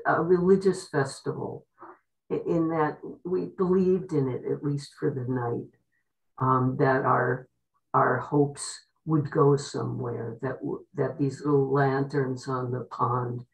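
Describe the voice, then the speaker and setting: Woman, gravelly creak, halting reflective reminiscence, distant video-call microphone. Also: rumbling low, darkish tone. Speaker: former member of a women's arts colony.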